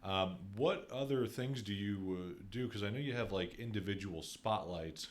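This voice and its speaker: A man's voice: on the low side, moderate pace. A man with an American accent hosting a casual interview in an affirming, conversational way. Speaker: podcast host, real estate agent.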